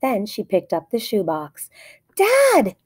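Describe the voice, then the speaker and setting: A woman's voice: lowish voice, expressive, a little slow, dark tone, husky. A woman narrating a children's story aloud in short, plainly phrased sentences. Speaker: storybook reader.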